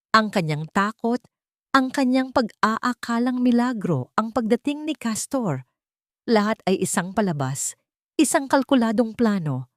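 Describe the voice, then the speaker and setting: A woman's voice: fairly high pitch, no room sound, clean studio sound. A woman with a Filipino accent reading a dramatic romance story as a scripted narration.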